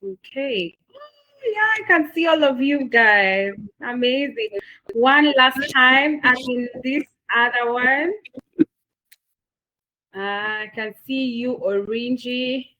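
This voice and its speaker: A woman's voice: sits high, slow pace, pushed and bright, highs cut off. A woman with a Kenyan accent moderating the close of an online masterclass, enthusiastic, with lots of fillers and repeated words. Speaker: session moderator. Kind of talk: closing remarks on an online masterclass.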